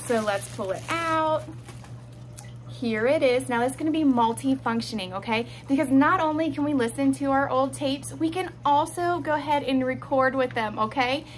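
A woman in her thirties with a high voice walks through a product in a chatty, instructive way. She often checks in with the listener, and there is faint noise behind her. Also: normal speed. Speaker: product reviewer. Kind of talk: product demonstration to camera. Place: small indoor room at home, seated.

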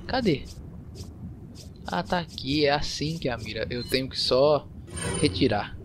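A man's voice: medium pitch, expressive, measured pace. Brazilian man, playful gaming commentary over game noise. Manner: playful, joking, talking to himself. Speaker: gaming video host. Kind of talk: casual Let's Play commentary. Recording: clear game noise behind voice. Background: video game ambience and mechanical sounds.

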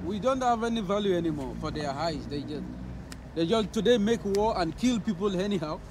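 A man, a travel vlogger, chatting casually on a city square at night, animated and repeating his phrases, filmed on a handheld camera. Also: high-pitched, brisk, background noise. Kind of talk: casual street chat. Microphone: handheld action camera.

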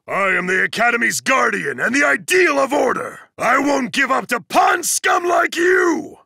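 An actor playing a towering, stern, fiercely loyal student disciplinarian in an anime fighting game, commanding, pompous and formal, with a gravelly, raspy voice. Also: male voice, very high voice, bright, forceful tone, dry recording, full character voice.

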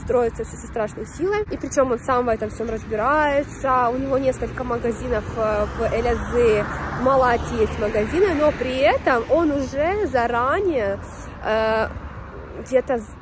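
Young female vlogger, high-pitched, informal and chatty, a bit sniffly with a cold, recorded on a noisy street. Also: normal speed. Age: young adult. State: slightly unwell with a runny nose. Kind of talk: casual walking vlog chat. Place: outdoors on a city street beside traffic. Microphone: phone at arm's length. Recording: clear outdoor street and traffic noise.

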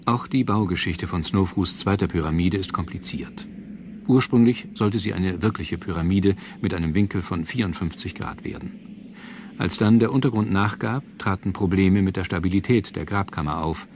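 A man reading scripted documentary narration in a measured, formal manner. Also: low voice, darkish tone, slight background noise. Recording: music bed under narration. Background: background music.

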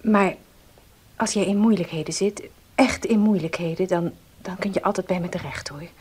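A woman's voice: on the low side, fairly even intonation, normal speed, a little hiss or hum, lightly acted. An actress playing a kind woman who runs a nursery school, offering help, in a 1970s radio play. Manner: gentle, reassuring.

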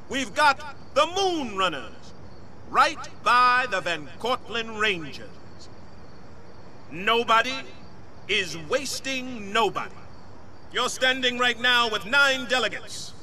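An actor playing a charismatic gang leader, giving a rousing, declamatory speech in a video game cutscene. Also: male voice, very high-pitched, sharp tone, exaggerated voice. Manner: slow, declamatory, drawn-out emphatic phrases. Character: charismatic, commanding male gang leader rallying a crowd.

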